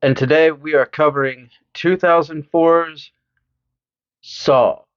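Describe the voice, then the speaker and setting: A man's voice: on the high side, steady tone, slow, dull audio. Playful young man co-hosting a podcast. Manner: upbeat, laughs often, playful asides. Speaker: podcast co-host. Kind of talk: casual chat.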